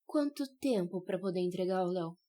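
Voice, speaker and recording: A woman's voice: on the low side, lively intonation, brisk, mellow, lightly acted. A woman with a Brazilian accent acting a fiercely protective, provoking mother who drives hard bargains in an audio novel. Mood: businesslike. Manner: assertive, taunting.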